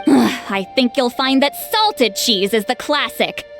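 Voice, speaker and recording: A woman's voice: a little high, animated, slow, vocal fry, faint music behind, heavily acted. A woman voicing a worldly, wry granny figure in a video game, conversational and faintly exasperated.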